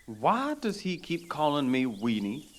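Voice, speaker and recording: A man's voice: a little high, big pitch swings, unhurried. A man playing a prim, lofty elf. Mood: haughty. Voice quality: formal, lofty diction. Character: prim, formal elf guide, pompous. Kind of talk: audio drama acting.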